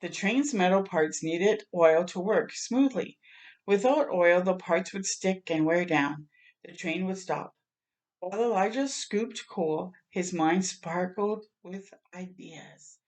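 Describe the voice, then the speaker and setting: A woman's voice: lowish voice, slow. A woman reading a picture book aloud to children as a storyteller, expressively, with asides to her listeners. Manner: expressive, acting out sound words and exclamations.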